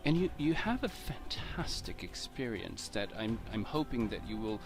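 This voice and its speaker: A man's voice: fairly low pitch, very animated, moderate pace, music in the background. A man from Portugal hosts a casual interview, speaking hesitantly, stumbling over his words and often laughing. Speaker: host.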